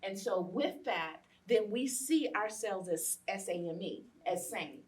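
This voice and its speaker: A woman's voice: mid-range voice, animated, a little slow, darkish tone, far from the mic. An American woman in her late fifties speaking in an impassioned, emphatic panel discussion, repeating phrases for effect. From Los Angeles, United States. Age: late 50s. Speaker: guest speaker, educator.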